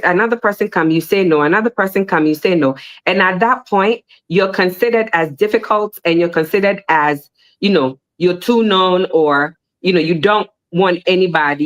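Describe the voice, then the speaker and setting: Chatty woman on a panel show, repeats herself, voice jumps up high when she gets worked up. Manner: animated, repeats words, rising pitch when making a point. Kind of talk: casual panel discussion.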